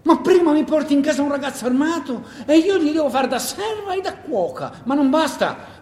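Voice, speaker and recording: Male actor as a shrill, scornful scold. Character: scornful, furious scold berating a grown man as an idiot. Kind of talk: theatrical monologue, radio stage drama. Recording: echoey hall, long reverb, faint noise.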